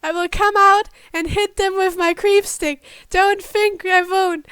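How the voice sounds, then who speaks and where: A woman voicing a feisty, protective small girl in a fan fiction reading, shouting and scolding defiantly. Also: extremely high pitch, steady tone, measured pace, no echo, clean studio sound, pitched above the performer's own voice. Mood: defiant threats.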